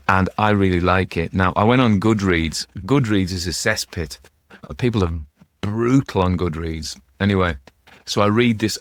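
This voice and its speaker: Man, deep voice, dry sound, conversational book commentary, musing, self-interrupting, wry asides. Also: no room sound. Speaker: host and narrator of ghost story readings. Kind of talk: rambling informal literary commentary.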